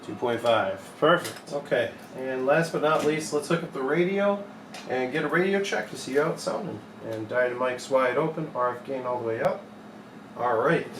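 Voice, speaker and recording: A young man giving an informal hands-on repair tutorial, explaining step by step in a plain-spoken way. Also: medium pitch. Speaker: repair technician demonstrating a modification. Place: small cluttered electronics repair workshop, indoors. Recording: echoey room with clear background noise.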